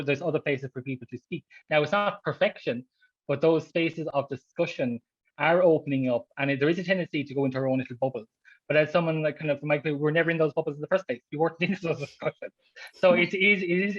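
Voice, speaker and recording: A man speaks quickly and earnestly on an online panel and restarts his phrases as he goes. His voice comes through dry and a little muffled. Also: fairly high pitch, no room sound. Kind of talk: panel discussion. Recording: dull, dry home audio.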